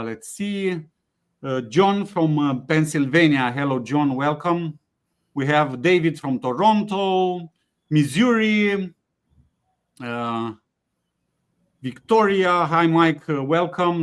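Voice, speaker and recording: A man hosting a webinar, speaking haltingly with frequent fillers and restarts. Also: fairly high pitch. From Romania. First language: Romanian. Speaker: webinar host, company CEO. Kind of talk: hesitant webinar presentation with many fillers.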